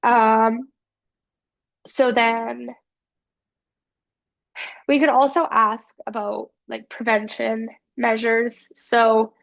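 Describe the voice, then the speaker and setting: A woman's voice: fairly high pitch, flat delivery, extremely slow, creaky, long pauses, lo-fi sound. A woman with a cold giving a casual academic presentation, earnest and full of fillers. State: has a cold. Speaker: student presenter.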